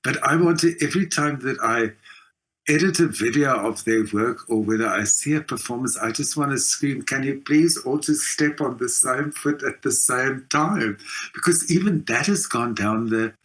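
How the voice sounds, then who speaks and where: A man's voice: mid-range voice, moderate pace, a bit bright. A man with a South African accent talking as an interview guest, giving his opinions emphatically and repeating phrases for stress. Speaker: interview guest, performing artist. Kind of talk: opinionated conversation.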